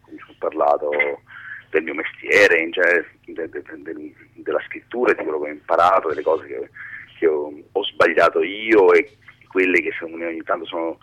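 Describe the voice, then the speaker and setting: A man who has just woken from a sleepless night, giving a casual, halting phone interview as a guest. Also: low voice, fairly bright tone, slightly rough, poor audio. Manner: halting, stumbling delivery. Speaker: interview guest, comedy writer.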